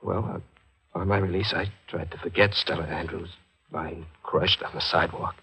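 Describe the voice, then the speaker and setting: Guilt-ridden newsman, lowish voice, raspy edge, halting, anguished, 1940s radio mystery. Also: steady tone, pushed and bright, exaggerated voice. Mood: weary, remorseful. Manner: halting, anguished, stammering confession. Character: guilt-ridden, haunted newspaper reporter confessing under truth serum.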